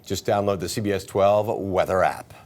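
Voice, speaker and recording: A man's voice: deep voice, normal speed, darkish tone, slight background noise. A man with an American accent reading scripted news as a television anchor.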